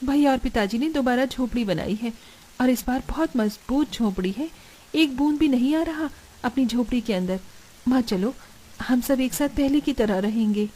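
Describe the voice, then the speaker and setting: A woman's voice: high voice, moderate pace, dark tone. A woman playing an emotional, devoted woman of a poor village in a cartoon moral story, with a plaintive manner. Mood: amazed, relieved. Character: distressed, devoted women of a poor flood-hit village.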